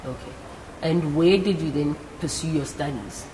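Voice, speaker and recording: A woman with a South African accent hosts a career interview in a low register. Her tone is soft-edged and encouraging as she addresses her audience directly. The sound rings in a large hall with faint noise. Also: moderate pace. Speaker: interview host. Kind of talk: live career talk show interview before young guests.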